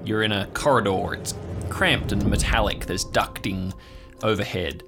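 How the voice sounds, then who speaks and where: Halting man narrating over music. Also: on the low side. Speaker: host, show creator and narrator. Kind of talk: improvised narration of an audio drama.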